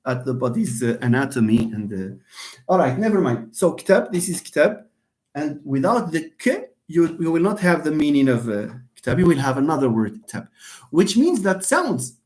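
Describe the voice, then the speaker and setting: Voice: medium pitch, big pitch swings, moderate pace, darkish tone. A university lecturer giving a live online lecture, emphatic, repeating phrases and speaking straight to students.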